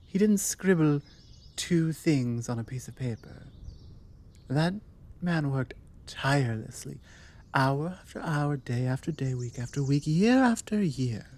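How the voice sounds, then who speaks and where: A woman acting as a self-assured British vampire lady in an ASMR roleplay. She speaks slowly in a soft-edged, mellow tone, conversational and forward, leaving deliberate pauses between her phrases. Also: deep voice, expressive. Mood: earnest, confiding.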